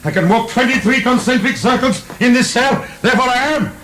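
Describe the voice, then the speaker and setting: An actor playing a proud, defiant, mocking French marquis in prison, declamatory and sardonic, in a radio drama. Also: male voice, very high-pitched, fairly quick, a bit bright. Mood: defiant, anguished.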